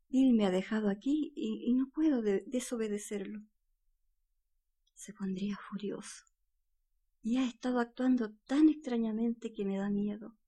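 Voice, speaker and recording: A woman playing a wistful, fearful, obedient wife in a radio play, speaking in a hushed, hesitant, yearning way. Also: fairly high pitch. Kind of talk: slow dramatic radio play monologue.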